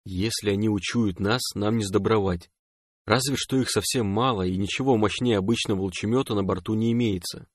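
A man voicing a calm, reassuring young man who speaks in a hushed near-whisper, in an audiobook story. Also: lowish voice, brisk, dry recording, studio quality, natural voice. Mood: hushed, cautious. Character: calm, joking young male lover, reassuring.

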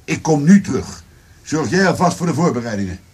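An actor playing a determined, brooding police commissioner in a radio drama. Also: male voice, on the high side, expressive, fairly quick, noisy background, lightly acted. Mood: urgent, commanding. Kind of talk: Dutch radio drama acting.

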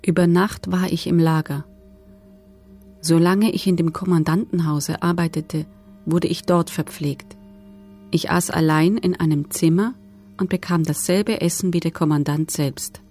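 A woman's voice: fairly low pitch, fairly even intonation, a little slow, a little mellow, soft background music. A woman playing a former camp prisoner, giving sober, factual testimony in a documentary radio drama. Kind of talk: documentary radio drama, read witness statement.

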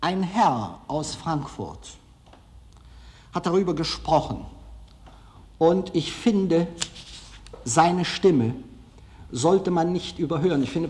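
A man giving a live public lecture in a hall, hesitant, halting and self-deprecating as he speaks. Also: a little high, a little mellow. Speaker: film director giving a lecture. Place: large formal auditorium, indoors.

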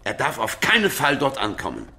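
An actor playing an aging, wrathful and commanding medieval emperor in a German radio play, imperious and scornful in delivery. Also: male voice, medium pitch, wildly swooping pitch, a little fast, creaky, pushed and bright, full character voice. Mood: vengeful rage.

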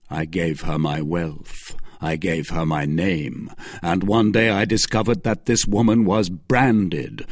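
A man voicing a grave, wronged nobleman who speaks in a solemn, measured way. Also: low-pitched, dry recording, clean studio sound, exaggerated voice.

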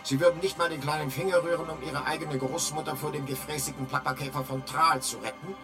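Actor as talking guidebook, higher-pitched male, over music. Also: steady tone, fast talker, natural voice. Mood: dry, instructive. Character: talking electronic guidebook, drily authoritative male narrator. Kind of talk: radio drama narration.

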